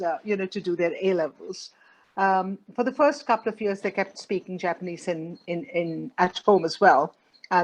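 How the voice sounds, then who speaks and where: A woman's voice: medium pitch, low-quality recording. A middle-aged woman from India with an Indian accent, answering interview questions thoughtfully with many ums and uhs. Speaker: guest.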